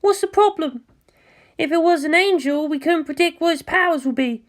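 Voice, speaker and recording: A woman's voice: very high voice, normal speed, voice pushed up. A woman voicing a nervous, polite, self-doubting teenage girl mecha pilot in a story, with a hesitant manner. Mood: puzzled. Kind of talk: acted story dialogue.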